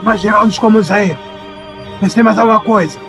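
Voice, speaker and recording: An actor playing a menacing, bossy gang leader in an audio drama, barking slangy commands. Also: male voice, high-pitched, steady tone, moderate pace, gravelly, a bit bright, faint music behind. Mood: cocky menace. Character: bossy menacing young male gang leader, armed robber.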